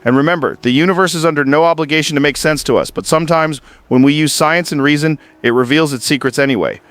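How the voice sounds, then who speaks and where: A man's voice: fairly high pitch, fast talker, fairly bright tone, close to an everyday voice. A man playing a laid-back, curious podcast host in an audio drama, talking in a chatty, musing and informal way. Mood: warm, reflective. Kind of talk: casual podcast interview in a scripted audio drama.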